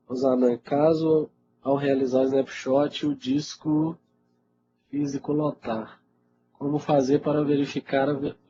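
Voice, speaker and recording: A Brazilian man with a cold, teaching IT and answering questions in an informal, conversational webinar style, often checking in with his listeners. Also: mid-range voice. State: congested with a cold. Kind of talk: casual live webinar Q&A. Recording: dull lo-fi webinar audio, loud noise.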